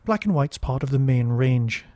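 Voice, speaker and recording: A man's voice: mid-range voice, lively intonation, normal speed, mellow, no room sound. A man hosting a podcast, giving casual, digressive review commentary with wry asides.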